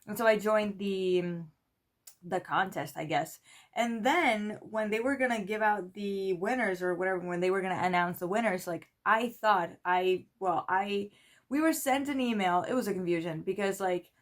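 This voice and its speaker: A woman's voice: medium pitch, normal speed. A woman in her twenties from Spain, an English speaker, telling a rambling story to camera with lots of "like" and "you know". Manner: chatty, rambling, full of "like" and "you know", lively quoted voices. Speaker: PhD student vlogger.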